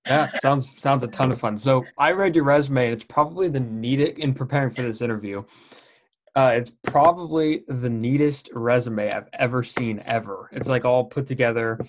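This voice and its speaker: Young man interviewing, halting and friendly. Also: medium pitch. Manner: friendly, hesitant, repeats words. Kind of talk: casual interview.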